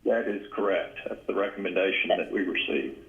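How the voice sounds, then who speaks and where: A man's voice: low-pitched, fairly quick, phone-quality sound. A man presiding formally as chair over a board meeting held on a conference call. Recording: conference call audio stream.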